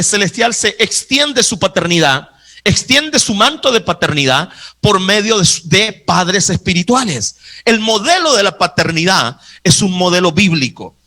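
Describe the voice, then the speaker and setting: A man's voice: high-pitched, pushed and bright. A man preaching a sermon to a live congregation, emphatic and insistently repeating his phrases. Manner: acts out Bible dialogue.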